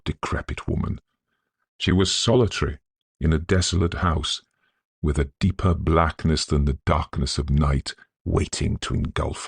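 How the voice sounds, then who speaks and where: A man narrating a literary ghost story in a measured, solemn, scholarly manner, with a gravelly, husky voice. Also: a bass voice, dry recording. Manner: measured, deliberate, solemn delivery.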